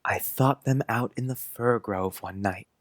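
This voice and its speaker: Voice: mid-range voice, a little slow, no room sound, lightly acted. An actor playing an earnest, precocious young schoolboy who chats dreamily in quaint grown-up phrases, in a dramatized audiobook. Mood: dreamy, confiding. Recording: music under the voice.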